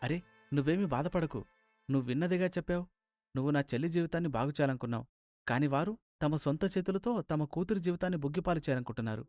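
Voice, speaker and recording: A man voicing a hurt, earnest young husband in an animated moral story, sounding aggrieved. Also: on the high side, fast, dry recording, clean studio sound, soft background music, close to an everyday voice. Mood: consoling.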